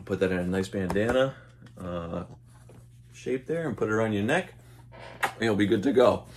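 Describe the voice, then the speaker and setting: A man's voice: lowish voice, moderate pace, darkish tone, a little hiss or hum. A man in his thirties talking casually to camera as a vlogger, easygoing and a little hesitant, recorded at home. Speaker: vlogger and dog owner. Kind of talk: casual unboxing chat to camera. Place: small room at home, indoors. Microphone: camera mic at arm's length.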